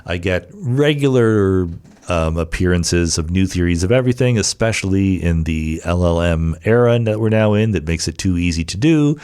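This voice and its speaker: Male podcast host, slightly low voice, very animated pitch, conversational and emphatic, talking solo. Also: moderate pace, smooth. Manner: conversational, emphatic, rhetorical asides. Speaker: podcast host answering listener questions. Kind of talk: casual explanatory monologue, reads questions aloud.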